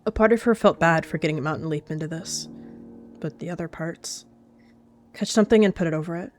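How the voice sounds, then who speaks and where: A young woman narrating a cat roleplay story in a soft, earnest storytelling manner. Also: low-pitched, a little fast, slight background noise.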